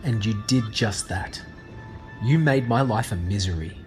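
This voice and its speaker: An actor playing a troubled hero in a scripted audio drama. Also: male voice, on the low side, animated, a little slow. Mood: hurt, bewildered. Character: troubled male hero uncovering his false life. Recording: voice under loud background music.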